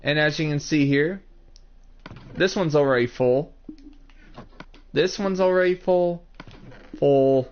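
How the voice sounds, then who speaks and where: A man's voice: on the high side, slow pace, long pauses. Man in his twenties streaming a game, muffled mic. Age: 20s. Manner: explains step by step, addressing chat viewers by name. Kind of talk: casual gameplay commentary.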